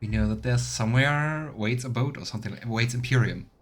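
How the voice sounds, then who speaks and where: A man giving casual let's play commentary as a streamer, halting and murmuring to himself as he thinks aloud. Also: mid-range voice. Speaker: streamer playing a video game. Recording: clear background noise, keyboard clicks.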